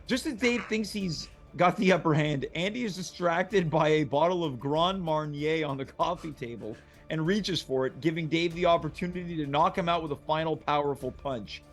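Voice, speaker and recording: A man's voice: fairly high pitch, moderate pace, few pauses, soft background music. A man narrating stage directions and action from a movie script at a comedic table read.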